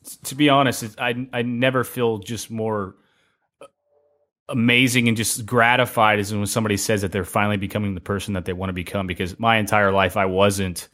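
A man's voice: lowish voice, level tone, brisk, fairly bright tone. A man hosting a podcast in an exuberant, playful, informal way with plenty of slang. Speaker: podcast host. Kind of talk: upbeat podcast hosting and interview.

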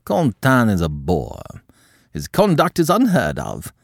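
Male reader doing prudish aristocratic matron, high-pitched, indignant, wildly swooping delivery. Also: wildly swooping pitch, measured pace, vocal fry, no room sound, clean studio sound, full character voice. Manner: shocked, scolding, high-pitched. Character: haughty prudish aristocratic older countess.

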